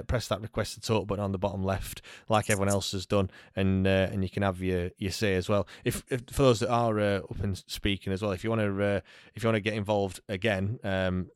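A man hosting a live call-in chat, relaxed and hesitant, with lots of fillers. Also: fairly low pitch, dry recording, studio quality. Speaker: host.

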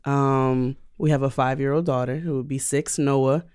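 Smooth-voiced woman telling stories. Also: rumbling low, normal speed. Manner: animated storyteller, laughs easily. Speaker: podcast guest. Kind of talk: casual podcast conversation.

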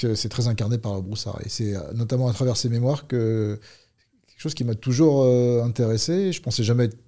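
A man in his fifties from Paris, a police unit leader talking through his career as a guest, measured and hesitant with fillers. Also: fairly low pitch, gravelly, warm tone. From Paris, France. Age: 50s. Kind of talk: conversational interview.

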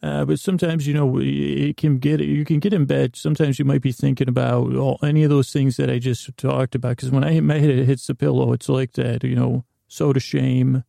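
A man hosting a podcast, delivering a meandering comic monologue with a gravelly voice, often interrupting himself and trailing off mid-thought. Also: medium pitch, flat delivery, brisk, few pauses, warm tone, no echo, clean studio sound. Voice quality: gravelly, creaky. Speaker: podcast host.

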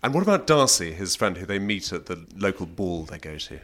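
Guy hosting a book chat, low voice, attentive and agreeable. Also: big pitch swings, a little fast, a bit bright. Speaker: podcast host, interviewer. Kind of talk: literary interview.

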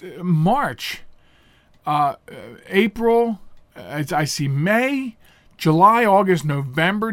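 A man's voice: a little high, fairly bright tone, no room sound. A man hosting his radio talk show alone, a little nasal and stuffed up from pollen, chatting in a rambling way with fillers and restarts. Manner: rambling, chatty, with self-corrections and filler words. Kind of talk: casual solo radio monologue.

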